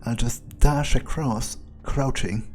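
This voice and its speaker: Slow, mellow man muttering through a game. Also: mid-range voice. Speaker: gamer doing an in-character playthrough. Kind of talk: hushed thinking-aloud game commentary in character.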